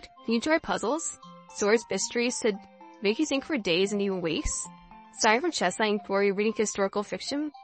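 A woman voicing a polite, thoughtful, inquisitive young engineer in a visual novel, with formal, measured phrasing. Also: mid-range voice, fairly bright tone, natural voice. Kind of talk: visual novel character dialogue.

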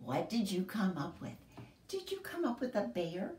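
A middle-aged woman reading a picture book aloud to young children in an expressive, sing-song, deliberate storyteller manner. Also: mid-range voice. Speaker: children's library story time host. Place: small home room, indoors. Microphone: camera a few feet away. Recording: roomy echo, distant camera microphone.

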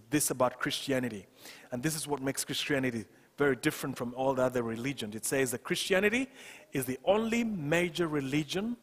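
A man in his thirties preaching a sermon as a pastor, emphatic and deliberate, repeating phrases for stress, on a headset microphone in a church. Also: medium pitch, a bit bright, slightly rough. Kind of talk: sermon to a congregation. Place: church stage, indoors. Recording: reverberant hall sound.

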